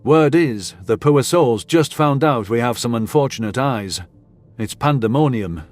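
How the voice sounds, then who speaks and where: A man's voice: medium pitch, expressive, unhurried, natural voice. A man voicing a jokey male soldier with breezy, sarcastic ribbing, in a sci-fi audio story. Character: mocking, jokey young human male soldier.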